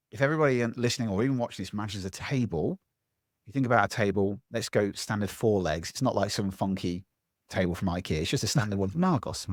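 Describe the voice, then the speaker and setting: Animated man coaching. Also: lowish voice, no room sound, studio quality. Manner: animated, acts out other people's voices. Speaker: public speaking coach, podcast guest. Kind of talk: conversational interview answer. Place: at home.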